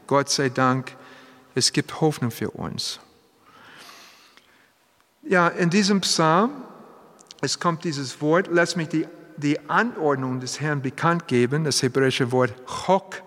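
A man preaching a sermon in German, which is not his first language; he speaks haltingly and drops in English phrases. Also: a little high, fairly bright tone. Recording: long hall reverb.

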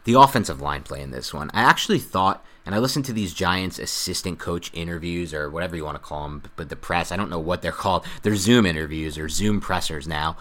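A man's voice: sits low, brisk, few pauses, sharp tone. A man hosting a football podcast, talking in a loose, chatty way full of asides. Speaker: podcast host. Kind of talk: casual sports podcast chat.

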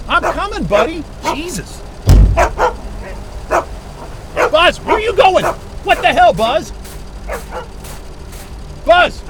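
A man's voice: very high-pitched, very noisy, heavily acted. A man playing a frantic, foul-mouthed man on the run in an audio drama, urgent and prone to exclaiming. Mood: panicked.

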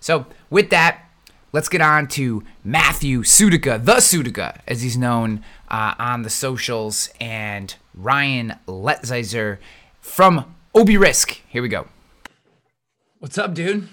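A middle-aged man hosts a podcast in a loose, energetic way, his speech full of fillers and restarts. His voice is bright and forward, sitting at an ordinary pitch. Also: takes it slowly. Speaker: podcast host. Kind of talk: casual podcast chat and sponsor reads.

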